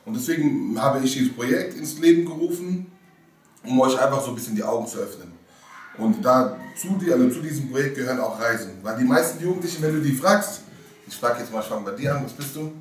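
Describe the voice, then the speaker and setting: Earnest youth worker in a roomy club room. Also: male voice, mid-range voice, animated, fast talker, a little hiss or hum. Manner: hesitant, filler-heavy, earnest. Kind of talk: casual talk to teenagers. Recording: roomy, from a distance.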